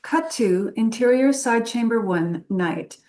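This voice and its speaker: A woman's voice: on the high side, lively intonation, slow pace, dark tone, poor audio. A woman reading stage directions as dramatic scripted narration at a table read.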